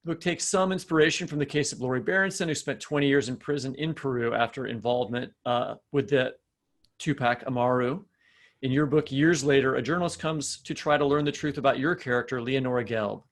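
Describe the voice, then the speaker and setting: A man's voice: mid-range voice, a little fast. An American man co-hosting a podcast, putting questions to a guest in a conversational interview style.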